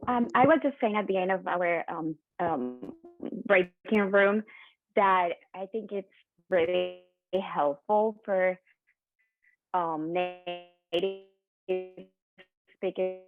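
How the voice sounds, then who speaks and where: A woman's voice: lowish voice, slow pace, long pauses, faint music behind. A woman whose first language is Spanish, talking earnestly in a group discussion and restarting her sentences. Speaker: meeting participant. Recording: tinny dry phone line.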